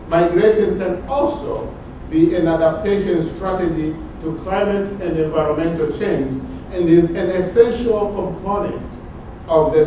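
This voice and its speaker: A man's voice: on the high side, dark tone, far from the mic, slight background noise. Man lecturing in an echoey hall. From Tanzania. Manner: measured, repeats words while searching for phrasing. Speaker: conference speaker. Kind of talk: academic lecture. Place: large conference hall.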